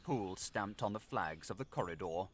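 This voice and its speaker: A man narrating a gothic audiobook in a measured, literary, dramatic delivery. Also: deep voice, lively intonation, a little hiss or hum.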